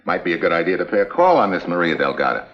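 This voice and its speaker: Tough american detective type, slangy n impatient, lively pitch, muffled old lo-fi recording. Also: male voice, very fast. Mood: businesslike. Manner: clipped, slangy, hard-boiled. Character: brisk, impatient, tough-talking American male investigator. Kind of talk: old-time radio drama acting. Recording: dull lo-fi vintage drama soundtrack.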